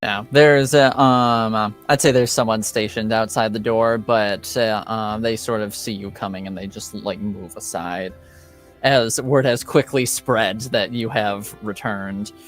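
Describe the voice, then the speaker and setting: Man, game master; slow, halting narration with fillers, mid-pitched voice, faint music under. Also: steady tone.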